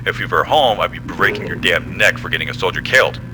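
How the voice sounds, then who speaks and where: A man's voice: low-pitched, animated, fast, noisy background. A man playing a blunt, commanding, hard-nosed military general in an audio drama. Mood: angry, stern.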